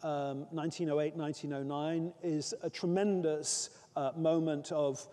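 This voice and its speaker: A middle-aged man giving an academic keynote lecture from a lectern. He speaks in a thoughtful, measured way with frequent pauses. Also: on the high side, steady tone, dark tone. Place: large conference hall, at a lectern. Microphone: lectern microphone. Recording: reverberant hall sound.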